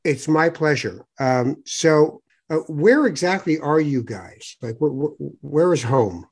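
A man's voice: a little high, expressive, slow, darkish tone, breathy edge. A man from Toronto hosting a podcast interview, chatty, with fillers and restarts. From Toronto, Canada. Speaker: podcast host. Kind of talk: conversational interview.

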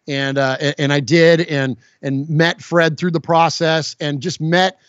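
A man's voice: a little high, level tone, unhurried, fairly bright tone, no echo, muffled recording. A man in his forties, a guest on a podcast, speaking earnestly and from the heart with many stammered restarts. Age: 40s. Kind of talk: casual podcast chat.

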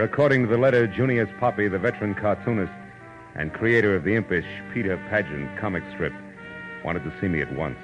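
An American actor playing a wry, world-weary private detective, deadpan and sardonic, in a 1940s radio drama style. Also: male voice, fairly low pitch, natural voice. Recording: muffled old radio recording, music behind.

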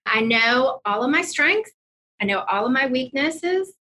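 A woman talking casually and emphatically as an interview guest in an office, over a noticeable low rumble. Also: animated, a little slow, bright, forceful tone, poor audio. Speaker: podcast guest, wealth management executive.

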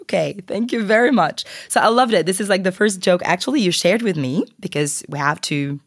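A woman's voice: fairly low pitch, expressive, a little fast, no echo, clean studio sound. A woman hosting a podcast interview in a chatty, partly scripted style, a bit sick. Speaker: podcast host.

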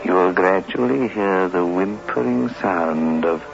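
An actor playing a courteous, sinister host of a 1960s radio horror series, narrating in a slow, theatrical, ominous way. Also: male voice, low voice, creaky. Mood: ominous welcome. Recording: muffled old radio recording with music.

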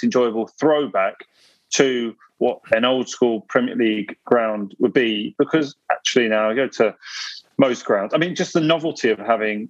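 A man telling a relaxed story about a football match on a podcast. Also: medium pitch, dry recording, highs cut off. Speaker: podcast co-host. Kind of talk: casual football chat.

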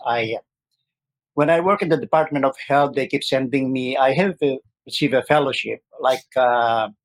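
A man's voice: medium pitch, no echo, poor audio. Man from the Philippines, sixties, hesitant, many fillers, dry close sound. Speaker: guest. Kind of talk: casual interview.

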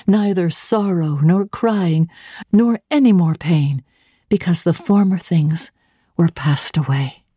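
Husky, warm woman reading a classic. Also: lowish voice, no echo, clean studio sound. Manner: measured, expressive, earnest. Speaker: reader of classic literature. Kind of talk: dramatic reading of a classic novel.

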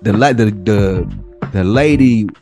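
American guy in his 40s, kinda deep, swearing and slangy podcast storytelling, music playing under it. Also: takes it slowly. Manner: profane, slangy, animated retelling. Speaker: podcast co-host. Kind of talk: casual storytelling chat.

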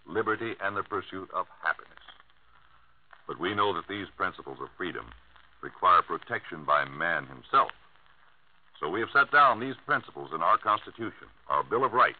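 An American man announcing in solemn, declamatory 1950s radio style, with emphatic public-service oratory. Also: deep voice. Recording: muffled vintage radio broadcast.

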